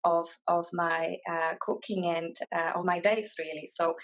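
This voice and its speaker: Slovak woman guest, kinda low flat voice, lots of ums, muffled lo-fi audio. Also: unhurried. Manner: thoughtful, many fillers and restarts. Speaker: guest, mindfulness and career coach. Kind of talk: casual interview answers.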